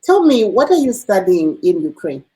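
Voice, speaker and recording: A woman hosting a radio interview, asking measured questions with occasional uh fillers. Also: medium pitch, sing-song, dark tone, rough recording. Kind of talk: broadcast interview.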